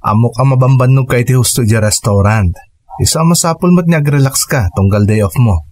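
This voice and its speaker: A man playing a kind, devoted suitor and stepfather figure in a radio drama, gentle and sincere. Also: medium pitch, a little fast, dry recording, clean studio sound, close to an everyday voice.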